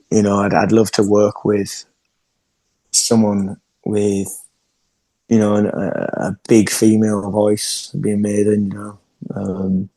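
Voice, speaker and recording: Low, flat-voiced English man. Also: dry recording. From England. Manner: rambling, often restarting sentences. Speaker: singer-songwriter guest. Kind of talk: casual interview chat. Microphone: video call.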